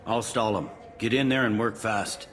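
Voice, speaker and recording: An actor playing a weary, pragmatic middle-aged police captain in a video game, plain-spoken and matter-of-fact. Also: male voice, fairly low pitch, fairly even intonation, normal speed, a bit bright, in a large hall, natural voice. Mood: resigned, brisk.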